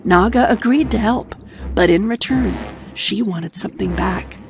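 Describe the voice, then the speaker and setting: A woman's voice: mid-range voice, measured pace, vocal fry, background noise. A woman giving a scripted narration of a children's fairy tale.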